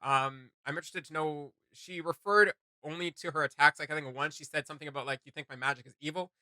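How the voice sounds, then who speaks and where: Bright, forceful-voiced man chatting as co-host. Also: fairly high pitch, fast, no room sound. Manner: animated, rambling, playfully emphatic. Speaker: co-host of a reaction video channel. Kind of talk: casual chatty reaction commentary.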